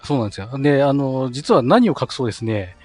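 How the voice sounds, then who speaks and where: Fast-talking polite man, music behind. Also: medium pitch, very animated, darkish tone, breathy edge. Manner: polite, modest, apologetic. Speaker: guest streamer. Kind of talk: casual chat on a group live stream.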